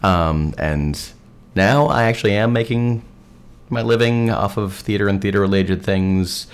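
A man in casual podcast chat, relaxed and halting with many fillers. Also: on the low side, clean tone. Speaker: podcast guest host.

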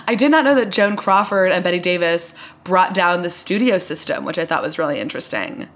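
Low-pitched woman in casual conversation. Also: expressive, brisk, a bit bright. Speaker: podcast host. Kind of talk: casual chat.

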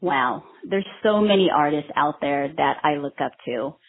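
Low husky woman on a tinny line. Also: expressive, normal speed. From Vietnam. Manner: warm, earnest, with many ums and restarts. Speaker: microblading artist and trainer. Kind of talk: casual interview answers.

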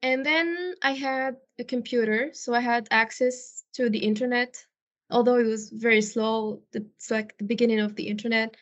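A woman's voice: a little high, fairly even intonation, moderate pace. A woman from Morocco, a non-native English speaker, answering conversationally and articulately as an interview guest. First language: not English. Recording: dull, lo-fi audio.

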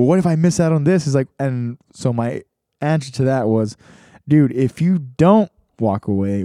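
A man's voice: medium pitch, mellow, dry recording, studio quality. A young man hosting a podcast, thinking aloud in a casual reflective monologue with many restarts and fillers. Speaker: podcast host.

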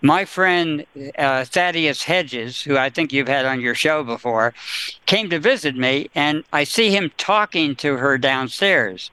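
Bright crisp rambling male storyteller. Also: on the high side, dry recording, low-quality recording. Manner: rambling anecdotal talker, laughs at own stories. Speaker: studio guest. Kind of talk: casual storytelling chat.

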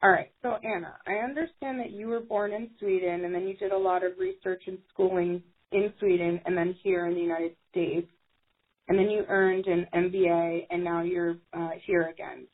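Woman podcast host, kinda breathy, upbeat, sounds like a phone call. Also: on the low side, steady tone, moderate pace. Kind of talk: podcast hosting and sponsor read. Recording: tinny phone-like line.

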